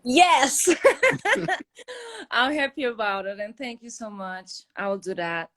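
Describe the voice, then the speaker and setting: A woman's voice: a little high, expressive, takes it slowly, background noise. Eager woman from Turkey calling in. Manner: eager, chatty, laughs easily. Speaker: caller on a live stream. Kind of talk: casual chat.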